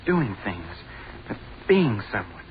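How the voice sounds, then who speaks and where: An actor playing an ambitious, socially awkward young student in an old-time radio drama, speaking eagerly but hesitantly and deferentially. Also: male voice, lowish voice, big pitch swings, slow. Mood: earnest, fervent. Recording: tinny, noisy vintage radio recording.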